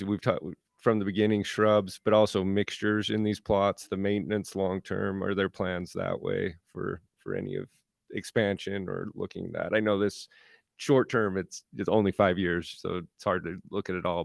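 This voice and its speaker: A man with a very deep, gravelly voice asks a question at a work meeting. He stops and restarts as he hunts for words. The room sound is dry and close. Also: no echo. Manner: halting, searching for words. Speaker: meeting participant. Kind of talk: meeting discussion. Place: conference room.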